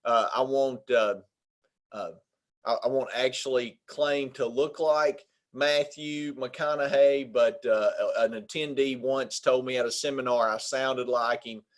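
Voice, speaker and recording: A man with a Southern Arkansas accent, an attorney giving a webinar presentation on employment law in a folksy way, pausing often on filler sounds. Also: medium pitch, steady tone. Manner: folksy, many filler pauses.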